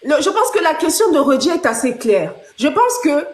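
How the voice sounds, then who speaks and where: A woman's voice: high voice, sing-song, moderate pace, darkish tone. A woman hosting a live debate, firmly cutting in and explaining her point step by step. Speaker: live show host. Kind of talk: moderating a heated debate. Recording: roomy echo.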